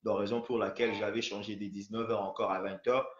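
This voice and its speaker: A man's voice: on the low side, level tone, fast. A man hosting a phone interview, talking with many hesitations and restarts. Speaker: host. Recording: roomy, dull lo-fi sound.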